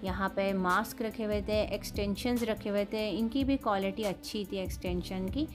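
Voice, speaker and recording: A woman in her thirties with a Pakistani Urdu accent talks through her shopping haul in a relaxed, chatty way. She judges each item's price and quality with plain frankness, her voice sitting at a middle pitch. Loud music plays under the voice-over. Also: normal speed. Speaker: vlogger. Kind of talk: casual shopping haul vlog.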